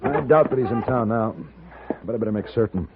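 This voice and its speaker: An actor playing a cool, commanding, wry American gentleman gunfighter in a 1950s Western radio drama. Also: medium pitch, a little fast, background noise, natural voice. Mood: amused, assured. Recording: phone-like old radio recording, music.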